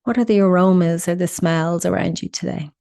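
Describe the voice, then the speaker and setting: A woman leading a guided meditation in a soothing, instructional manner with deliberate pauses. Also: on the low side, fairly even intonation, gravelly, warm tone, no echo, clean studio sound.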